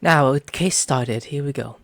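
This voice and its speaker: A woman's voice: rumbling low, unhurried, no room sound. A woman hosting a gaming video with casual, informal, reactive commentary.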